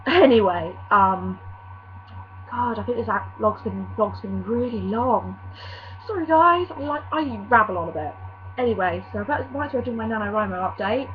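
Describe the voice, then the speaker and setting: A woman's voice: on the high side, expressive, moderate pace. A young woman from vlogging casually, rambling and apologetic, her nose slightly stuffy from a cold. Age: young adult. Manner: rambling and self-apologetic, with many fillers. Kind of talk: casual chatty vlog. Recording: dull lo-fi recording with faint hum.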